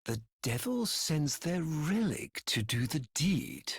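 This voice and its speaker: An actor playing a bitter, scheming aristocratic villain in a video game, speaking in poetic, grandiose phrases with dramatic pauses and a breathy tone that often drops toward a whisper. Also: male voice, on the high side, big pitch swings, exaggerated voice. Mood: contemptuous. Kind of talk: theatrical scripted video game dialogue. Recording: echoey, long hall-like reverb.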